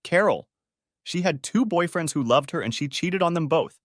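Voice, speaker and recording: A man's voice: a little high, expressive, moderate pace, dry recording, clean studio sound, pitched above the performer's own voice, close to an everyday voice. A man voicing a sarcastic, wounded husband in a dramatic story reading. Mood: accusing. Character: Steve, sarcastic, wounded husband needling his wife. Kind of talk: audiobook-style dramatic reading of dialogue.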